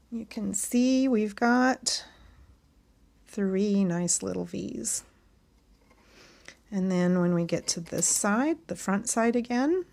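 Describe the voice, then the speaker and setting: A woman hosting a casual knitting tutorial, chatting along as she knits and a bit hoarse from losing her voice. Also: medium pitch, darkish tone. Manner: chatty and friendly, talks while knitting.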